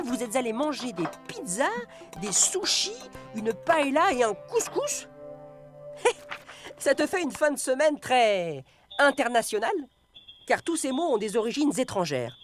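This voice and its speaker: A woman presenting a scripted radio segment, explaining in a playful, quiz-like way. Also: a little high.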